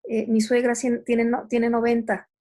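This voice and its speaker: Woman moderating, slow n thoughtful, raspy edge, halting reflective comments. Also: on the high side, completely flat delivery, warm tone.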